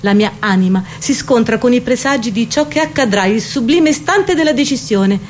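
A woman's voice: on the high side, expressive, normal speed, slight background noise, close to an everyday voice. A woman performing a poetic theatrical confession as an exalted, tormented woman, with intense declamatory delivery. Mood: anguished. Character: exalted, anguished woman confessing torment over beauty and body.

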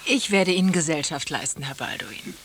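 An actress playing a mocking, brisk, worldly lady who is arch and formally polite, in a German radio play. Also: sits low, a little hiss or hum. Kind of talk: German radio play, stylised conversation piece.

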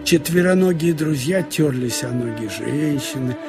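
A man reads literary prose as a dramatic audiobook narrator. His voice is slightly high and quite gravelly, and he tells it conversationally with wry, expressive asides. Faint music sits behind the reading. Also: measured pace.

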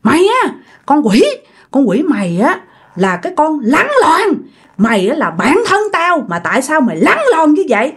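Woman playing jealous dead woman's spirit, Southern Vietnamese accent, high pitch, animated swings, bitter, accusing, repetitive, ghost-story telling. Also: normal speed, husky, full character voice. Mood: accusing, anguished. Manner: accusing, repeats phrases insistently, bursts of menacing laughter. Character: vengeful, jealous female ghost possessing a woman, bitter and cursing.

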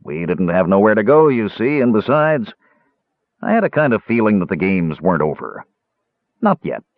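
A man voicing a cantankerous old dwarf arena keeper in a fantasy audiobook, with a rough voice and a scornful, folksy manner. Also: on the low side, big pitch swings, normal speed, no room sound, narrow, tinny audio. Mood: wistful grumbling. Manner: scornful, snappish, folksy grammar.

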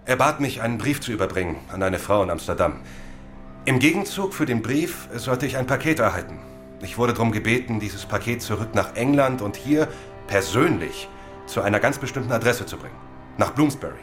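An actor playing a smooth-talking, vain, evasive sea captain in a radio crime drama, with a grandiloquent, hedging manner. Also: male voice, mid-range voice, expressive, fairly quick, faint music behind, close to an everyday voice. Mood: guarded, storytelling. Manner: grandiloquent, chuckling, hesitant hedging.

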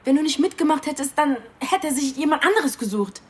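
A woman's voice: high-pitched, lively intonation, a little fast. An actress playing a wary, protective and pragmatic woman, speaking directly and insistently in a radio crime drama. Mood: urgent, insistent. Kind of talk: naturalistic German radio crime drama acting.

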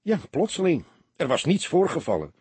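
A man's voice: on the high side, huge pitch swings, normal speed, a little mellow, husky, dry recording, pitched above the performer's own voice, full character voice. A man voicing an anxious, earnest young soldier who speaks hesitantly and repeats words in an audiobook story. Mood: surprised, insistent.

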